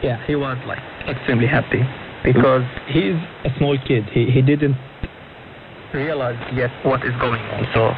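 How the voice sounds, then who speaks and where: A man's voice: medium pitch, fairly even intonation, normal speed, narrow, tinny audio. Young man on noisy shortwave radio. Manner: speaks fluent but non-native English in short phrases. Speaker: interview guest. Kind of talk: recounting personal experiences in a radio interview. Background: clear radio static and noise.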